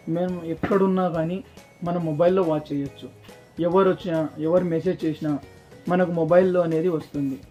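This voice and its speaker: A man's voice: on the high side, warm tone. A man gives an informal, explanatory product demonstration, repeating points as he addresses viewers. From South India. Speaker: presenter for a security systems shop. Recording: dull lo-fi sound with music behind.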